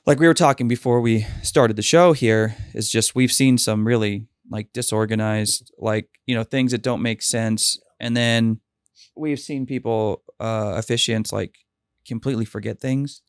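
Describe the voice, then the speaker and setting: Man, podcast host, casual chat, animated storyteller, acts out quotes, medium pitch, dry studio sound. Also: normal speed, clean tone, no room sound.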